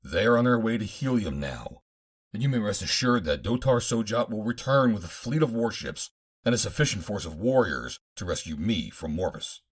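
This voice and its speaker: A man voicing an earnest, resolute male adventure hero in an audiobook, firm, deliberate and declamatory. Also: on the low side, lively intonation, husky. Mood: confident.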